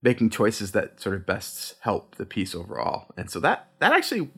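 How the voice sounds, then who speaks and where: A man's voice: lowish voice, huge pitch swings, normal speed, sharp tone, husky. A man who co-creates a podcast, answering interview questions in a chatty, rambling way. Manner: chatty, rambling, self-correcting.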